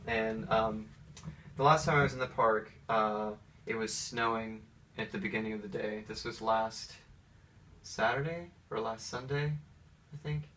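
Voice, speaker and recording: A man's voice: lowish voice, a little slow, pushed and bright. Hesitant American man, recorded from a distance. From Atlanta, United States. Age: 30s. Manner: hesitant, rambling. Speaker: interviewee, pianist. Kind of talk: casual interview, personal storytelling. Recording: distant mic with faint background noise.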